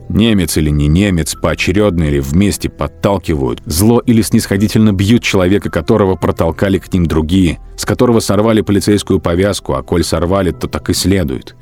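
Low-pitched male dramatic narrator. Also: a little mellow. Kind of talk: dramatic literary narration in a radio production. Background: faint music.

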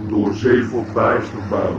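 An actor playing a deeply depressed, self-pitying robot in a radio play, talking in a weary, sarcastic, morose way. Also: male voice, fairly even intonation, slow, echoey room, far from the mic. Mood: despondent. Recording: muffled, very noisy old radio drama recording.

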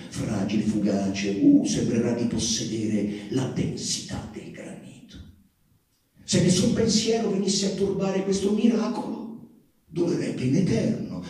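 A man performs a literary reading in a slow, measured, theatrical way. His tone is dark and mellow, with words drawn out and phrases that swell. He sounds distant, in a very reverberant space. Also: mid-range voice. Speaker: actor giving a literary reading. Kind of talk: dramatic theatrical reading of poetic prose. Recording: distant, very echoey roomy sound.

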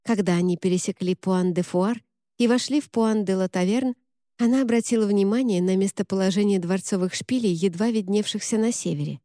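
A woman reading a novel aloud as an audiobook narrator, in an even, measured way. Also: medium pitch, dry recording, studio quality. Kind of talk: scripted narration.

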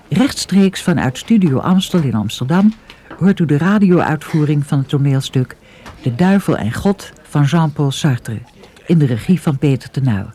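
Casting: male radio announcer, formal delivery, slightly high for a man, soft mellow tone with husky edge. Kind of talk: formal live broadcast announcement.

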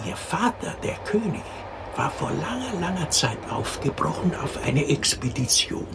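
An actor in a radio drama playing a storyteller who patiently tells a child a princess fairy tale, expressive and explanatory. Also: mid-range voice, measured pace, creaky. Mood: patient storytelling. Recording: noticeable background noise.

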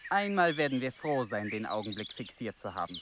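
An actor playing an earnest elder brother who speaks gravely and quietly. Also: male voice, mid-range voice, very animated, fairly quick, noisy background, lightly acted. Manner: grave, hushed.

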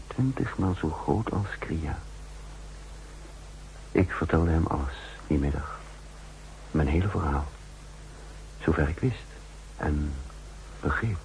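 A man playing a thoughtful double agent in measured, reflective speech for an old radio play. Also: very deep voice, lively intonation, darkish tone, slight background noise, heavily acted, low-quality recording. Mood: subdued, uncertain. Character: thoughtful, troubled male double agent narrating his story. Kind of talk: 1960s Dutch radio play acting and narration.

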